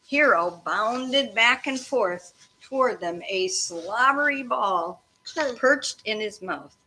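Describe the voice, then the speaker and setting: A woman teacher reading a storybook aloud to children expressively, pausing to explain and prompt her listeners. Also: medium pitch, expressive, pushed and bright, low-quality recording. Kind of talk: interactive children's read-aloud of a storybook, with questions and asides. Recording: faint background noise.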